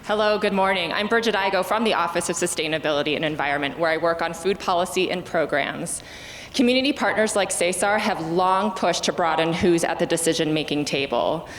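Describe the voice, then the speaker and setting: A woman who works on city food policy, giving a steady, scripted civic presentation. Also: fairly low pitch, a little fast. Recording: roomy hall reverb, faint noise.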